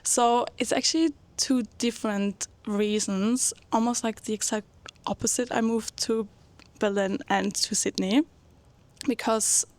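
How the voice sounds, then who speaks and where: A young woman from Austria, chatting casually as a guest and telling stories with a laugh. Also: mid-range voice. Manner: lively storyteller, laughs often. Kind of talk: casual chat.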